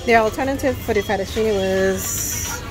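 A woman's voice: medium pitch, normal speed, rough recording. A woman hosting a casual shopping vlog, addressing viewers directly and exclaiming as she goes. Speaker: vlog host. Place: supermarket aisles. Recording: music playing loudly behind. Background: loud music.

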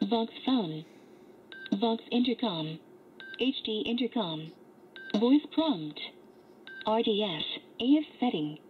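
Female headset voice prompt: slow, clipped announcements, dull small-speaker sound. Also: on the high side. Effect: small device speaker. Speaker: device voice prompt. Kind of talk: announcing short menu prompts. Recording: muffled lo-fi playback from small headset speaker, noisy.

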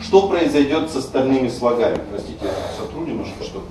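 A man's voice: medium pitch, lively intonation, quick pace. A male teacher explains pronunciation rules and sounds out example words. He is picked up by a distant mic, so the voice comes across roomy and heavily echoed. A faint hiss sits underneath. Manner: explanatory, demonstrating pronunciation aloud. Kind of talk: screencast lecture on Russian stress.

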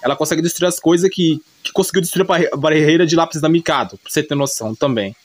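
A Brazilian man with a slightly high voice recaps an anime story in a casual, unscripted way. He rambles along in slangy speech and often corrects himself as he goes. It sounds like an informal storyteller talking off the top of his head. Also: quick pace, slight background noise.

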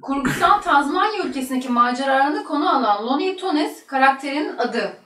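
A woman's voice: sits high, animated, a little fast, a bit bright. A woman reading out quiz questions on a talk show. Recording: roomy echo, distant mic.

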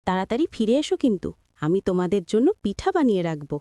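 A woman's voice: medium pitch, sing-song, fast talker, mellow, no echo, clean studio sound, natural voice. A woman voicing a caring, hospitable village homemaker and mother in a cartoon. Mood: fond, brisk. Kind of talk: Bengali moral cartoon voice acting.

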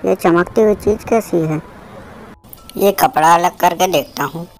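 Woman voicing a curious low-pitched cartoon boy. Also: unhurried, darkish tone, a little hiss or hum, lightly acted. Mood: determined, curious. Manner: talks to himself, exclaiming. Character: curious, stubborn young boy on a summer adventure. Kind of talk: cartoon story voice acting.

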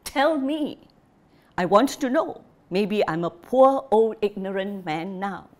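A woman voicing a bitter, furious old father who shouts and scolds his family. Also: mid-range voice, animated, takes it slowly, pitched above the performer's own voice. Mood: indignant. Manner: shouting, scolding, jabbing repetition.

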